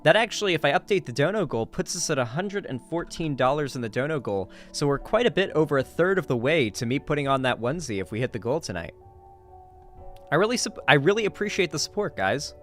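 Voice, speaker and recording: A man hosting a live stream, chatting casually and informally with his viewers in an animated way. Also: on the high side, fast, faint music behind. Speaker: livestream host.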